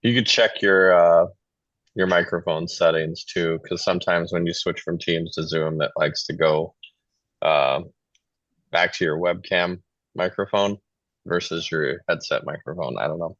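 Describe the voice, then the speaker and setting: A man's voice: low voice, fairly even intonation, measured pace, a bit bright, no room sound. A man talking informally in a committee meeting over a video call. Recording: dull, dry video-call audio.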